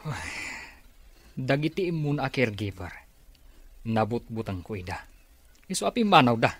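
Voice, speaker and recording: An actor with an Ilocano accent playing a hapless, defensive accomplice in a radio drama. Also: male voice, mid-range voice, very animated, normal speed, fairly bright tone, no room sound. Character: hapless male accomplice making excuses.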